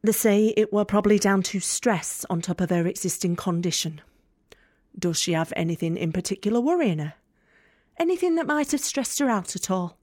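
A woman's voice: mid-range voice, lively intonation, moderate pace, a little mellow, dry recording, studio quality, lightly acted. A woman acting a bitter young working-class carer in an audio drama monologue, wry, blunt and sarcastic. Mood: guilty, shaken. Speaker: actor in an audio play.

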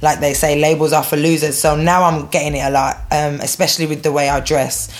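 A woman's voice: low voice, flat delivery, a little fast, faint music behind. A woman who raps, answering interview questions in a frank, defiant, casual way. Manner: frank, defiant, laughs easily. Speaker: rapper being interviewed.

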